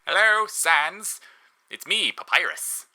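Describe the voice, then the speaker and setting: A man voicing a pompous, excitable skeleton brother from an audio story, earnest and grandiose with formal phrasing. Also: fairly high pitch, takes it slowly, pitched above the performer's own voice, exaggerated voice. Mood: proud, matter-of-fact.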